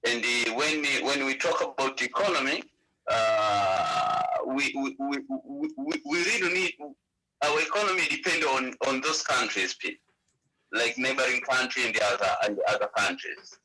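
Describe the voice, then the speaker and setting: A Tanzanian man, a Swahili speaker with a Tanzanian accent, answering interview questions in a halting way, often repeating words and restarting. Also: medium pitch, sharp tone, a little hiss or hum, poor audio. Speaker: interview guest, party representative.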